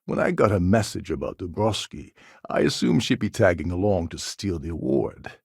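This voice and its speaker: A man playing a gruff, sardonic space pirate con man in a video game, with a gravelly, husky voice and a wry, scheming manner. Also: deep voice, lively intonation, moderate pace, no room sound, studio quality, full character voice. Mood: sly, measured. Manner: wry, sarcastic, world-weary.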